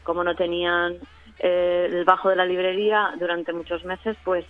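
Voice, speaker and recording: A woman talks in an interview with a crisp voice that stays fairly flat in pitch, chatty and quick to laugh. The sound is thin and phone-like, and the room is dry. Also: no room sound. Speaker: radio documentary producer. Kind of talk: interview talk. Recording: phone-like line.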